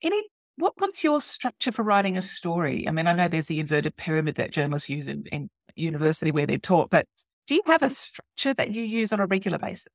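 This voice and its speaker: A woman's voice: fairly low pitch, very animated, fast, no echo, clean studio sound. A middle-aged woman hosting an interview, chatty and appreciative as she puts questions to her guest. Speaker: podcast host, copywriter. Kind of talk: conversational interviewing.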